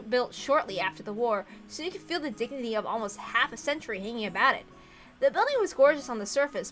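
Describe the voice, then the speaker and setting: A woman's voice: on the high side, a bit bright. A woman reading visual novel narration aloud, with casual asides. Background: faint music.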